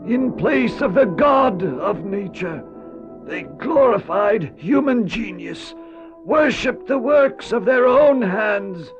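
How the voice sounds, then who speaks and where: A man's voice: high voice, heavily acted. A man playing a solemn, ancient patriarch who recounts his life in a dramatized audio narration. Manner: solemn, measured. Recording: dull old cassette, music underneath.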